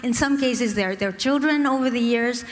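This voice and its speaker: A woman's voice: fairly high pitch, moderate pace, a little hiss or hum. A woman giving earnest, grateful remarks on a panel, with some fillers. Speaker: panel speaker. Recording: long hall reverb.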